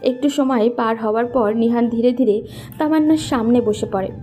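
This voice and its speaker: A woman's voice: on the high side, moderate pace, over music. A woman narrating a scripted romantic story.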